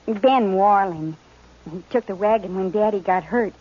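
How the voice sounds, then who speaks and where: A timid but plucky prairie teenager played by a woman, slow and hesitant, with a husky rural American voice. Her simple, deferential talk comes through a dull vintage radio western recording. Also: mid-range voice, warm tone, lightly acted. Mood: meek.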